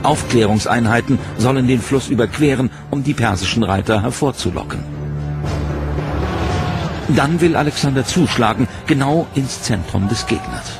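Gravelly male documentary narrator. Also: mid-range voice. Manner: measured, dramatic storytelling. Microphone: studio voice-over mic. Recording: music bed under narration.

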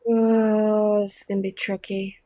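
A woman's voice: medium pitch, level tone, unhurried, mellow. A young adult woman doing casual game playthrough commentary and reading lines aloud, close on a desk mic. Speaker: game streamer. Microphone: close desk microphone. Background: game music.

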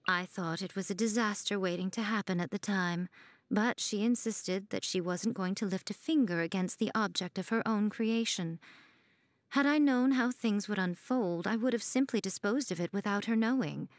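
A woman's voice: mid-range voice, normal speed, no room sound, studio quality, natural voice. A woman voicing a sly fox shrine maiden in a video game, with an arch, knowing, teasing manner. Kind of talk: video game character voice line.

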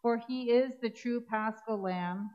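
A middle-aged woman priest leading a church service, reading set prayers in a measured, solemn way. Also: fairly high pitch, dark tone. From Canada. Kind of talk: liturgical reading. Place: church sanctuary with congregation. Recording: dull lo-fi livestream sound.

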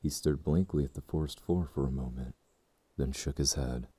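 A man narrates a full-cast audiobook with an extremely deep, dark voice. His reading is measured and somber, with a husky edge, recorded dry and close. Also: no echo.

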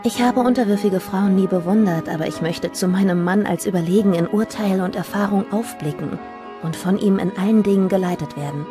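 A woman's voice: mid-range voice, a little fast, close to an everyday voice. An actress playing a devoted, earnest young officer's wife of the nineteenth century, reading her letter in a documentary drama. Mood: earnest devotion. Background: music.

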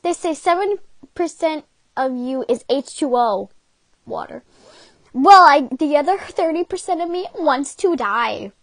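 A woman's voice: high-pitched, lively intonation, unhurried, dry recording. A woman voicing brash, theatrical comic characters with exaggerated emphasis in an amateur fan dub. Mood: defiant.

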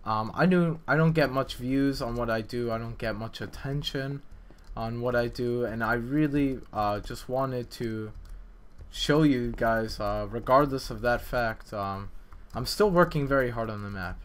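A man's voice: medium pitch, measured pace. A man giving casual, unscripted commentary as a game developer, explaining things in a hesitant, rambling way. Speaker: video game map developer and video maker. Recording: clear background noise with clicks.

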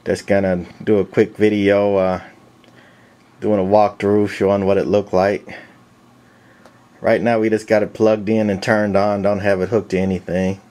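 A man giving a casual, unscripted walk-through in a halting, matter-of-fact way, like a hobbyist collector presenting his gear. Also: lowish voice, flat delivery, a little mellow. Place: indoors, small room. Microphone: handheld camcorder's built-in mic.

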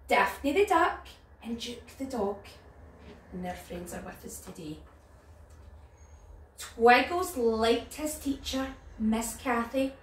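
Northern Irish woman, children's storyteller, fairly high animated voice, recorded a little way from the mic. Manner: animated, addressing young children. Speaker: nursery storyteller. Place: living room at home. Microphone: camera a few metres away. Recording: roomy, distant mic, background noise.

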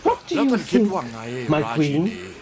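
A man's voice: high voice, big pitch swings, slow pace, crowd noise, heavily acted. An actor playing an eager, proud king in a cartoon, with a regal, exclamatory delivery. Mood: delighted, proud. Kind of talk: animated film voice acting.

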